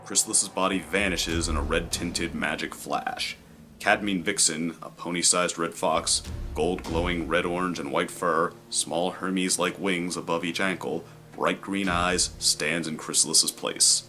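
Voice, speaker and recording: A man's voice: sits low, steady tone, a little slow, bright, forceful tone, faint music behind. A man giving scripted narration of the action in an audio drama, as its narrator.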